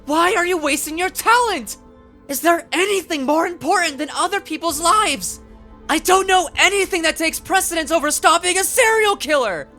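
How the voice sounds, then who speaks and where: A woman voicing an earnest, excitable young male police detective in an anime dub, naive and eager, with exclamatory delivery. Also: extremely high pitch, normal speed, soft background music, heavily acted. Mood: urgent, pleading.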